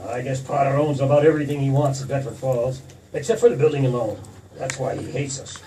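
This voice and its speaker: A man's voice: mid-range voice, fairly even intonation, a little fast, dark tone, close to an everyday voice. An actor playing a weary, kindly, idealistic older father with a hesitant manner, in 1940s radio drama style. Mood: weary, fond. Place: theater stage. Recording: distant stage pickup with faint noise.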